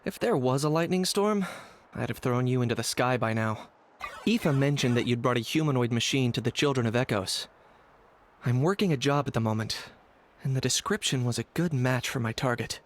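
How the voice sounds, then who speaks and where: A man playing a gruff, dry-witted young bounty hunter in a video game, speaking bluntly. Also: mid-range voice, fairly quick, a little hiss or hum, natural voice.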